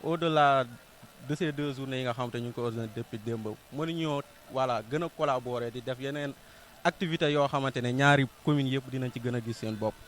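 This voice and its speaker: A man's voice: medium pitch, a little fast. A Senegalese man conducting a field interview as a TV reporter, putting questions in a mix of two languages. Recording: noisy on-location recording, ambient sound.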